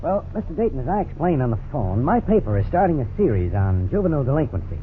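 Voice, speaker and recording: An actor playing a polite, inquisitive young newspaper reporter in a 1950s radio drama. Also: male voice, medium pitch, sing-song, fast, natural voice. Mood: curious, polite. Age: young adult. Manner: deferential, questioning. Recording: scratchy, tinny 1950s radio recording.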